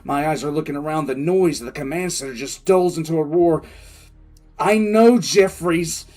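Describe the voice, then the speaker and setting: An actor playing a frantic, bitter military commissar in an audio drama, telling his story in halting, intense first person. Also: fairly high pitch, a little mellow, soft background music, close to an everyday voice. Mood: urgent, panicked. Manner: halting, intense, repeats words. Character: male military commissar, wounded, frantic, bitter survivor recounting disaster.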